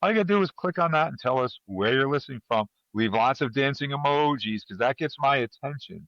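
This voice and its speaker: A man's voice: medium pitch, animated, fast talker, fairly bright tone, no echo. A man hosting a podcast, giving casual announcements in an informal, playfully grandiose way on a slightly lo-fi recording. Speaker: podcast host. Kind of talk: casual show introduction and announcements. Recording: dull, slightly lo-fi, dry.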